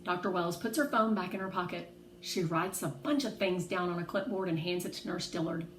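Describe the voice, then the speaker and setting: A woman reading a children's novel aloud in an expressive, animated way, acting out the dialogue. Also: lowish voice, a little fast. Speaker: read-aloud narrator. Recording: roomy echo, faint background noise.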